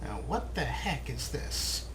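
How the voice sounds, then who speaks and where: A man's voice: medium pitch, sing-song, slow pace. A man giving casual, wryly musing commentary as he plays a video game. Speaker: let's play host. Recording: clear background game noise. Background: video game sound effects.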